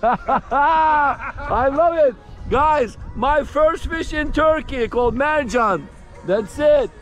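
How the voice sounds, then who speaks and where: A middle-aged man hosting a fishing vlog from a small boat at sea, cheering loudly over each fish he catches. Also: very high-pitched, slow pace. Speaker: fishing vlogger host. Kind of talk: casual fishing vlog commentary. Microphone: action camera on the boat. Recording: outdoor wind with music bed.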